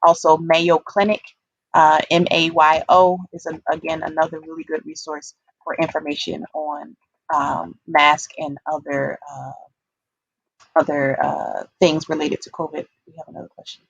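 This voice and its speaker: A woman giving an informal community talk on a video call, pausing often with hesitant ums. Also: sits low, level tone, creaky, slightly rough, no room sound, dull audio. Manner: hesitant, frequent pauses and ums. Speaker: panelist giving public health talk.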